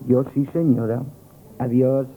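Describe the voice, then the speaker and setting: An actor in a Spanish radio comedy playing a nervous, lovestruck young grocery lad, gushing and stammering with an accent from Spain. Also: male voice, medium pitch, a little slow, narrow, tinny audio, slight background noise, natural voice. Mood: eager patter. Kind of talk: 1970s radio comic theatre acting. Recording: band-limited vintage radio broadcast.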